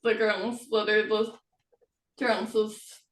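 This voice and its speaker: A woman chatting casually in a halting way, often trailing off mid-thought. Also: mid-range voice, flat delivery. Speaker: author and interview host. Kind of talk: casual chat. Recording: echoey room, distant from mic.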